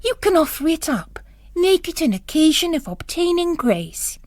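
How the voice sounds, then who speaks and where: A woman's voice: very high voice, sing-song, normal speed, a little mellow, no echo, voice pushed up. Lady doing an earnest nun, frank and pious, audiobook, dry sound. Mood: wry, pious.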